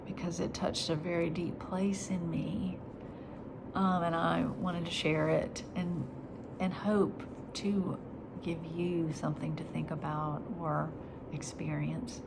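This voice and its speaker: A woman talking in a reflective faith vlog, soft and halting, her voice nasal after crying. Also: fairly low pitch, vocal fry. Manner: soft and halting, slipping into whispers. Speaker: vlogger. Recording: steady background noise.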